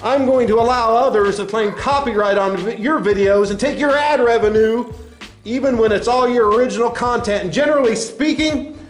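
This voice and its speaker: Man as corporate spokesman, high voice, smug, condescending, satirical sketch speech, faint music behind. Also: moderate pace. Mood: scolding, spiteful. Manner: condescending, hesitant stammering doublespeak. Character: smug, dismissive male corporate spokesman facing angry creators. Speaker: spokesman at a press address. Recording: roomy echo with faint music.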